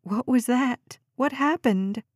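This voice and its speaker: A woman's voice: on the high side, unhurried, darkish tone, no echo, studio quality, close to an everyday voice. A woman voicing a frantic, demanding mother of a missing girl in an audiobook, urgent and pleading. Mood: panicked, pleading.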